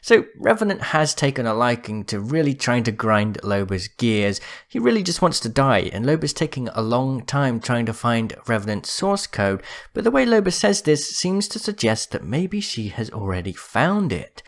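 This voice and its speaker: A man's voice: medium pitch, sing-song, moderate pace. A man hosting a gaming video, explaining lore in a conversational, speculative commentary style.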